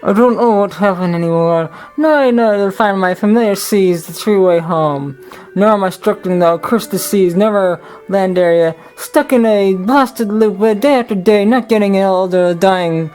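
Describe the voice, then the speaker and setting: Weary young pirate seaman, read by a woman, plain storybook telling, soft music behind. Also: mid-range voice, moderate pace, natural voice, low-quality recording. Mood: earnest. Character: young Irish pirate seaman, put-upon, resentful. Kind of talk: first-person storybook narration.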